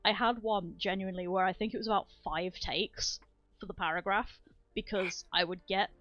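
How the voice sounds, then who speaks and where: A woman's voice: mid-range voice, fairly even intonation, normal speed, a bit bright. Casual, laughing woman on a stream. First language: not Swedish. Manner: rambling, laughs often, swears casually. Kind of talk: casual chat on a writing stream. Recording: dull lo-fi sound with faint music.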